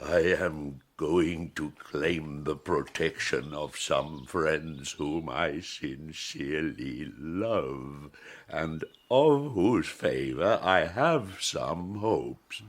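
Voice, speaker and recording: An actor playing a lonely, eloquent man-made monster in an audiobook drama, speaking formally and haltingly with a gravelly voice. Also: extremely low pitch, lively intonation, full character voice. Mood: meek, anxious. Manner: slow, halting, formal old-fashioned speech. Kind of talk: dramatised audiobook monologue and dialogue.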